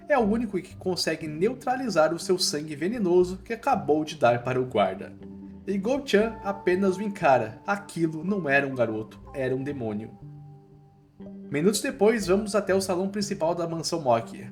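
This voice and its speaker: A man with a Brazilian accent narrating a scripted story recap in casual, slangy language. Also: a little high. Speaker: narrator of manhwa recap videos. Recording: voice-over with music underneath.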